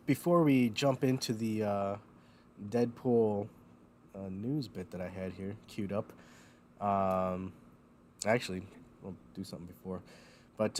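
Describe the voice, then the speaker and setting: A man's voice: on the low side, darkish tone, a little hiss or hum. A man hosting a casual livestream chat, rambling with lots of ums, feeling a bit loopy and foggy. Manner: rambling, many ums and uhs, laughs at himself. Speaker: host.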